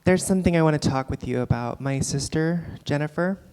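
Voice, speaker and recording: Woman acting a low-voiced, blunt fixer. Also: fairly quick, background noise, lightly acted. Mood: matter-of-fact. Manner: dry, blunt, matter-of-fact. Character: blunt, practical, exasperated female photographer and fixer. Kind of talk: staged play reading. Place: live on a theatre stage. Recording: reverberant theatre hall.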